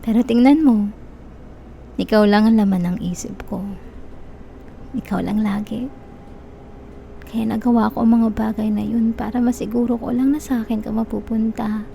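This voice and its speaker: Casting: actress for young Filipino-accented yandere stalker in ASMR roleplay; fairly high, very gentle mellow tone, possessive, manipulative, sweetly threatening. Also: female voice, steady tone, moderate pace, creaky, long pauses, slight background noise. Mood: lovesick, insistent. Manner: soft, coaxing, teasing, quietly menacing. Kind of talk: Tagalog-style ASMR roleplay, intimate close address.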